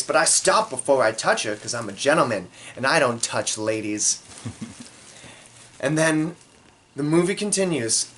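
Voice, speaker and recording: Animated male storyteller with lively, swinging pitch. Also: a little hiss or hum. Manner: theatrical, dramatic pauses, acts out dialogue; self-deprecating. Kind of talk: comedic autobiographical storytelling.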